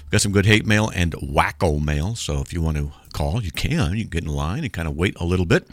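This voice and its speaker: Deep voiced radio host guy, sarcastic jokes, pitch bouncing all over. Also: expressive, fairly quick, dry recording. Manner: joking, sarcastic. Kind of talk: call-in radio talk.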